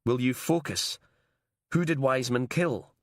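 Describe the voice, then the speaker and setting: A man's voice: medium pitch, a little slow, no echo, voice pushed up, close to an everyday voice. Man voicing stubborn detective, urgent, pressing, dry studio sound. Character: tense, stubborn male detective sergeant.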